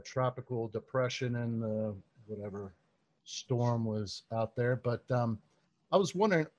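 A man of average pitch hosts a podcast interview. He reads out his guest's introduction and then eases into conversational questions, dropping in plenty of "um"s and "you know"s. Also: lo-fi sound. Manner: reads a guest bio, then chats with fillers. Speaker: podcast host.

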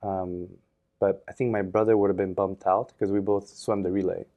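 A man in his thirties, a podcast guest, talking in a thoughtful, relaxed way with many fillers and restarts. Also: deep voice, moderate pace. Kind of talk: casual interview.

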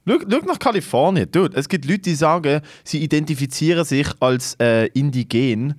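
A man's voice: fairly high pitch, lively intonation, moderate pace, no echo, clean studio sound. A man with a Swiss German accent in casual, opinionated debate, swearing and dropping in English slang.

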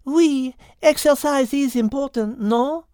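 A man voicing a thoughtful, courteous little female cat in a storybook, with a French accent and gently precise speech. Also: very high voice, slow pace, no room sound, clean studio sound, pitched above the performer's own voice. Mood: friendly.

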